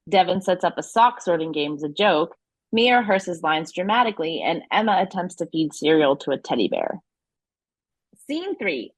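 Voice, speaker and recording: A woman's voice: lowish voice, slightly rough, dry recording, low-quality recording. A woman reading scripted narration and stage directions as the narrator of an audio play.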